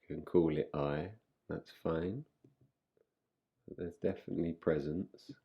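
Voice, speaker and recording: A man guiding a relaxation meditation in a soothing, unhurried manner, leaving long pauses between short phrases. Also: sits low, fairly even intonation, vocal fry, breathy edge, muffled recording. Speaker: meditation guide. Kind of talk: guided relaxation meditation, yoga nidra.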